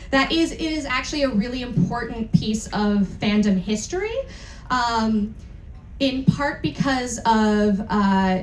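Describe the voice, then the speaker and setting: A woman hosting a live event, giving an informal, candid and irreverent introduction to an audience. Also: fairly high pitch. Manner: candid, irreverent, casually sweary. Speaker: event host introducing a dramatic reading. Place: indoor event room on a cruise. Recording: roomy live event sound with noticeable noise.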